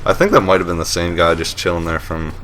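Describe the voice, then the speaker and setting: A man with a deep voice hosting a casual voice-over commentary on gameplay, relaxed and rambling with lots of fillers and restarts, and a dry, self-mocking humor. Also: quick pace, a little hiss or hum. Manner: dry, joking self-mockery. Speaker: host of a map showcase series.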